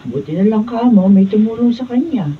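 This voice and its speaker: Lively, protective Filipina mother. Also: mid-range voice, a little fast, slightly rough. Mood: agreeable. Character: protective middle-aged mother, wary of daughter's boyfriend. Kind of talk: Filipino radio drama acting. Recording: distant, dull, noisy room recording.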